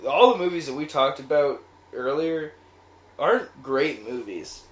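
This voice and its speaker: A man's voice: on the high side, animated, unhurried. A man co-hosting an informal chat, giving his opinions in slangy, everyday language. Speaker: co-host. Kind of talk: casual movie chat. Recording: roomy echo, background noise.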